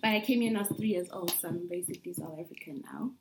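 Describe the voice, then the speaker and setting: A woman's voice: lowish voice, racing, background noise. A young woman from Congo, a podcast guest, chatting casually and laughing often. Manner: giggly, easygoing, laughs often. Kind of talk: casual chat.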